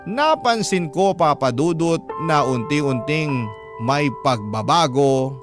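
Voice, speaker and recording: A man's voice: fairly high pitch, animated, slow pace. A man narrating a listener's letter in the first person as a radio storyteller. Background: instrumental music.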